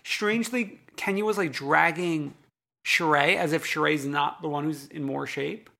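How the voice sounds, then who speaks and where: A man co-hosting a casual reality TV recap podcast, making wry jokes and agreeing with his co-host through quick "yeah"s. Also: on the high side, creaky, pushed and bright.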